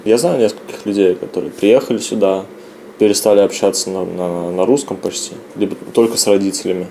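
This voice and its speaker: A young man speaking reflectively and haltingly in a documentary interview. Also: low voice, gravelly, dark tone, slight background noise.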